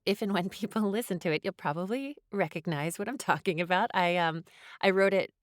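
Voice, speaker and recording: Interview guest: woman, low register, reflective and hesitant, dry close sound. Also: fairly quick, dry recording.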